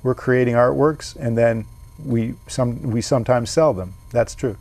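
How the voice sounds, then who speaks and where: A man's voice: mid-range voice, measured pace, creaky, darkish tone, dry recording. A man, a painter being interviewed, talking things through thoughtfully with frequent restarts. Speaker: painter and guest. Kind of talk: casual interview.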